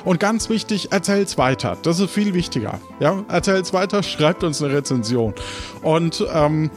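A man's voice: fairly high pitch, lively intonation, moderate pace, faint music behind. A man hosting a role-play podcast as game master, switching between casual chat and narration.

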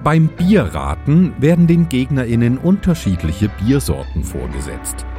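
A man's voice: mid-range voice, wildly swooping pitch, measured pace, over music. A man reading scripted game rules in a mock-formal, theatrical manner. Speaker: rules announcer. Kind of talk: scripted narration.

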